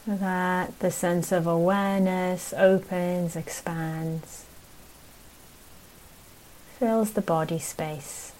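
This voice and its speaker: Very slow, low-voiced woman guiding meditation. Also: fairly even intonation, rough recording. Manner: gentle, unhurried, with long pauses between short phrases. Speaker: meditation teacher. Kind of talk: guided meditation.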